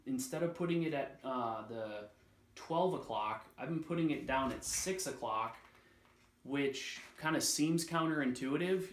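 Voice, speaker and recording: A man's voice: mid-range voice, a bit bright, roomy sound. A man giving a casual product demonstration as a reviewer, thinking aloud in a loose, slightly hesitant way.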